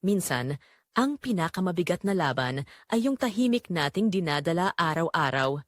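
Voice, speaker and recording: A woman playing a guilt-ridden pregnant young wife, telling her secret as an anguished, measured, first-person dramatic confession. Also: fairly low pitch, sing-song, no echo, studio quality. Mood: somber, reflective.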